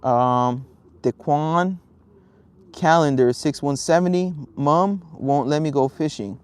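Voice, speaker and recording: A man's voice: fairly high pitch, slow, smooth. A young man from Southern California chatting casually on a fishing livestream outdoors at a lake, reading out viewer comments in a laid-back, rambling way with lots of ums.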